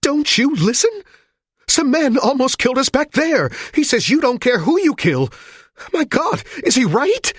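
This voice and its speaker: A man reading an audiobook, voicing a terrified young woman held hostage who pleads in high, breathless cries. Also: sing-song, moderate pace, no room sound, clean studio sound. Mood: frantic.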